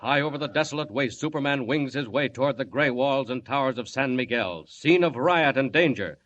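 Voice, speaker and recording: A man's voice: mid-range voice, expressive, brisk, a bit bright. Muffled, dramatic male radio serial narrator. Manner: dramatic, theatrical, declamatory. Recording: muffled, noisy old radio recording.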